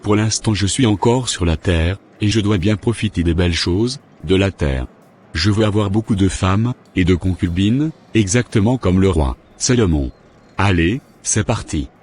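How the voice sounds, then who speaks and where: Man acting a low-voiced smug womanising preacher. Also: moderate pace, darkish tone, a little hiss or hum, natural voice. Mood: smug, dismissive. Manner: boastful, self-satisfied. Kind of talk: amateur cartoon monologue and dialogue. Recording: music behind the voice.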